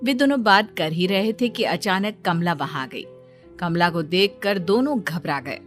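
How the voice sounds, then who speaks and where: A woman's voice: on the low side, lively intonation, measured pace. A woman narrating a scripted cartoon story as the storyteller. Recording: faint music bed under voice.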